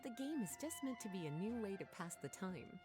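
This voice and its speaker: An actress playing a poised, gently indulgent and teasing woman in a video game, with a languid, honeyed delivery. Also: medium pitch, sing-song, brisk, over music, natural voice. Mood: smooth, indulgent. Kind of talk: video game character acting.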